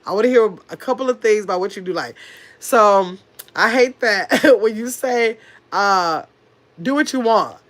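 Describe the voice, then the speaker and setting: A woman's voice: medium pitch, measured pace. A middle-aged woman with a very nasal voice, stuffy from a cold that is coming on. She talks loosely and at length to her livestream chat, firing back at comments with sass and rambling asides, close to a phone or webcam mic. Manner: talkative, sassy, rambling, answers chat comments directly. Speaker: livestream host reading chat.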